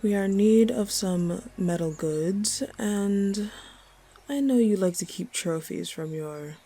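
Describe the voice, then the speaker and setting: A woman playing a commanding, protective snake woman, dominant yet fond, speaking with measured authority in a fantasy audio roleplay. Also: mid-range voice, dark tone, breathy edge. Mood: stern. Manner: measured, authoritative, fond toward her human.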